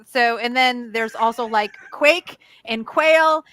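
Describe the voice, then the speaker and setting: A woman's voice: a little high, slow, pushed and bright, a little hiss or hum. A woman from Connecticut chatting casually as a co-host, over-enunciating her words. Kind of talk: casual chat.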